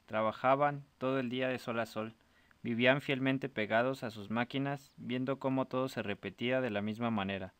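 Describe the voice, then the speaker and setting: A man's voice: mid-range voice, steady tone, fairly quick, fairly bright tone, smooth, dry recording. A man narrating a scripted short story as a storyteller.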